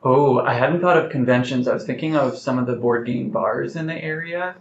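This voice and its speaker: A man's voice: mid-range voice, brisk. Reflective man in a roomy, muffled recording. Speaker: playwright being interviewed. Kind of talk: thoughtful interview conversation. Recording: roomy, slightly muffled, noticeable background noise.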